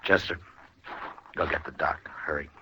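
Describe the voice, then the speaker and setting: An actor playing a steady, terse frontier marshal with calm authority in a radio western. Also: male voice, a bass voice, measured pace, slight background noise, exaggerated voice. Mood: urgent. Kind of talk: 1950s radio western acting and narration. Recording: old radio broadcast with loud music.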